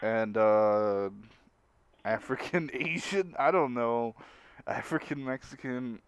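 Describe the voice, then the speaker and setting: A man with a clean, smooth voice hosts a casual livestream chat. He rambles and giggles easily as he goes. The sound is dry and close, with no room around it. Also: mid-range voice, takes it slowly, dry recording. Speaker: stream host.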